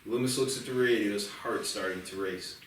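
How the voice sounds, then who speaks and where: A man reading screenplay stage directions in a deliberate, dramatic narration. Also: on the low side, a little hiss or hum. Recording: very echoey, distant mic, music behind.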